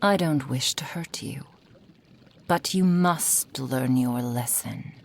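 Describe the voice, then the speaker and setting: A woman's voice: very deep voice, slow pace, vocal fry, heavily acted. A woman performing an ASMR roleplay as a menacing, possessive fox-spirit witch, cruelly affectionate and condescending, often dropping into whispers. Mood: menacing, falsely tender.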